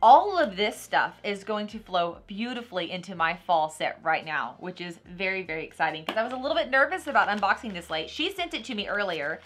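Woman in her thirties, Texan accent, bright forceful voice, exclamatory, casual unboxing host, light music underneath. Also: medium pitch, brisk, few pauses. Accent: Texan American. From Texas, United States. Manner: animated, gushing, exclamatory. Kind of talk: casual product unboxing chat. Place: small decorated room at a table, indoors.

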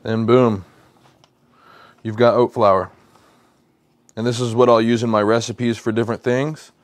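A man's voice: mid-range voice, level tone, a little slow. A man in his thirties with an American accent, chatting conversationally in a casual vlog and repeating phrases for emphasis. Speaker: lifestyle vlogger. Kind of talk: casual vlog chat while cooking. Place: home kitchen, indoors.

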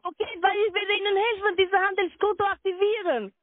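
A woman making a scripted sales call as an agent, insistent and repetitive, with halting non-native phrasing. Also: very high-pitched, little pitch movement, no echo. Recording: narrow tinny phone line.

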